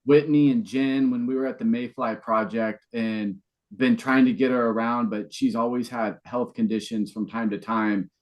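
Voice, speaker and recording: A man with a medium-pitched voice hosts a podcast. He asks guests questions in an informal, conversational style. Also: steady tone, normal speed, rough recording. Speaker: podcast host. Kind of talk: casual conversational interview.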